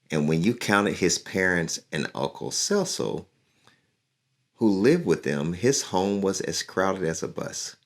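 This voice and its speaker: A deep-voiced man reads a picture book aloud for children. He speaks in an encouraging, unhurried way, guiding young listeners along. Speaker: community leader and musician reading to children.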